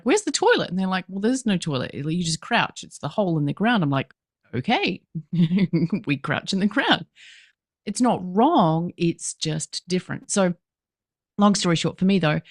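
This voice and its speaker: A woman with a New Zealand accent, a business coach talking in a lively, emphatic way as a podcast guest. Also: lowish voice, no room sound, clean studio sound. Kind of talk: podcast interview.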